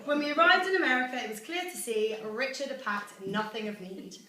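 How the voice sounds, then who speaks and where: Bright, lively British woman in an echoey room. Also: high voice, fairly quick. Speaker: daughter reading her own celebratory poem. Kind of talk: rhyming speech read aloud to a gathering. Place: echoey room at a family celebration. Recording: echoey room, distant mic, faint noise.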